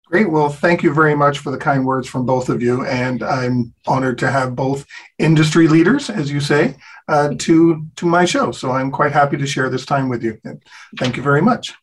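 A man's voice: on the high side, normal speed, poor audio. A man hosting an interview show, friendly and a little rambling as he asks his questions. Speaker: host.

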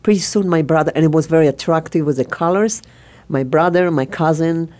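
A woman from Israel with an Israeli accent, a chef guest speaking in a radio interview in a slow, halting way. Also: low-pitched, mellow.

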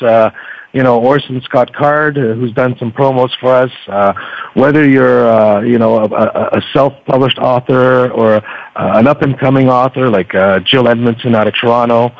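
A man's voice: mid-range voice, steady tone, normal speed, no room sound, muffled recording. A man speaking as a podcaster guest in a casual interview, telling anecdotes in a chatty, hesitant way. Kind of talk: casual, conversational interview talk.

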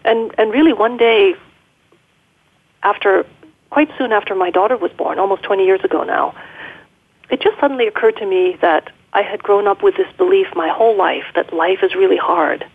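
A middle-aged woman speaking as an interview guest, reflective and measured, over a narrow phone-like line. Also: mid-range voice, husky. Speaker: guest, leadership coach. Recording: muffled, band-limited phone-like line.

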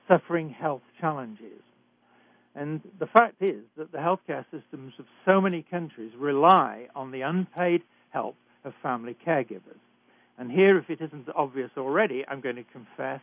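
An older British-accented man, a physician, hosting a talk radio show in a measured, emphatic way with some hesitations. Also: on the high side, no room sound. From Britain. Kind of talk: hosted talk radio introduction. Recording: dry, phone-like narrow sound.

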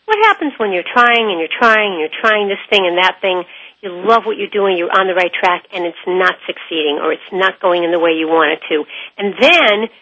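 Female interview host: lowish, sharp bright tone, conversational broadcast delivery, dry recording. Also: moderate pace, no room sound, rough recording.

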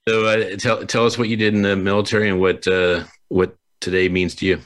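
A man's voice: low voice, flat delivery, brisk, a bit bright. A man hosting a remote interview show, chatty and effusive as he talks with his guests. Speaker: show host. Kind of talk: conversational interviewing. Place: video call.

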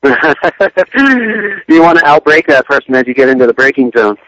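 A man being interviewed as a motorcycle racer, laid-back and halting, laughing now and then. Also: fairly high pitch, big pitch swings, fairly bright tone, no echo, dull audio. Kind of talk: radio interview.

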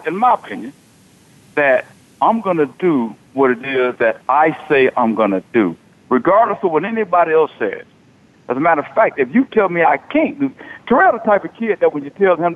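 A man in his forties hosting a sports talk radio show, talking casually and animatedly, often repeating himself. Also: a little high. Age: 40s. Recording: tinny phone-like radio audio.

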